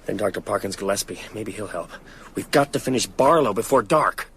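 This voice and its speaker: A man's voice: lowish voice, a little fast, fairly bright tone, a little hiss or hum. An actor playing an urgent, determined man hunting a vampire in a radio drama. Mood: alarmed, urgent. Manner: urgent, questioning.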